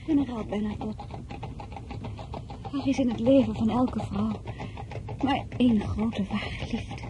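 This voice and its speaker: A woman playing a naive, proud fifteen-year-old French merchant's daughter in a Dutch drama, upset and halting in an emotional, girlish way. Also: on the high side, steady tone, noisy background, natural voice. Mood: earnest. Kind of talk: Dutch radio drama acting.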